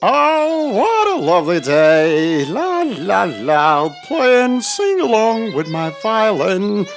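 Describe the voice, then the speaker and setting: A woman's voice: mid-range voice, sing-song, takes it slowly, soft background music. A woman voicing a lazy, vain, carefree male grasshopper in a children's cartoon, with a breezy, dismissive, singsong manner. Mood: carefree singing.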